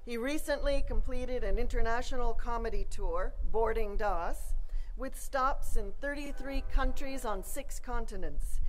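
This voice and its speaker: A woman's voice: on the high side, rough recording. Woman reading a formal degree citation. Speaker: presenter of honorary degree. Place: outdoor commencement ceremony.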